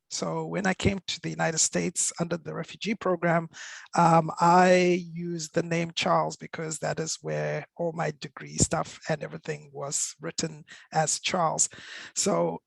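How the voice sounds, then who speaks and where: Higher-pitched man, gravelly, even intonation. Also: no room sound. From Zimbabwe and South Africa. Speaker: panelist. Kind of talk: personal introduction in a panel discussion.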